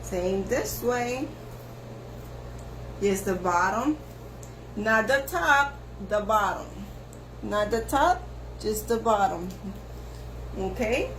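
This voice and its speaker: A woman hairstylist whose first language is Spanish, giving slow step-by-step instructions for a haircut, with lots of repetition. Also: medium pitch, animated, noisy background. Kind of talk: haircut tutorial narration. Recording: echoey room with faint ambience.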